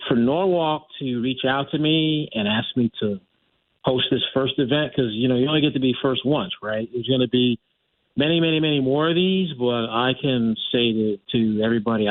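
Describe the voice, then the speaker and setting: A man's voice: mid-range voice, no echo. A middle-aged man speaking as a radio guest over the phone, talking casually, often restarting and correcting himself. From United States. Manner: rambling with restarts and self-corrections. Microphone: telephone. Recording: dry, tinny phone line.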